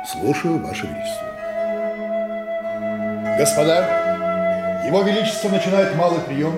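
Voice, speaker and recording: An actor playing a loyal, gallant captain of musketeers, formal and emphatic, in a Russian radio drama. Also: male voice, fairly high pitch, sing-song, a little slow. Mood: dutiful. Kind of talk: Russian radio play acting. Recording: echoey room, loud music.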